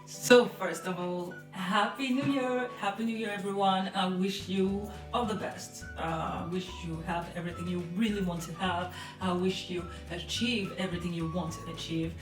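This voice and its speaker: Young woman, French accent, hesitant casual vlog chat, lower voice, echoey room. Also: steady tone, moderate pace, hardly any pauses. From France. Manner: hesitant, many fillers, soft laughs. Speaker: vlogger. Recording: roomy, mic at a distance. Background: music behind.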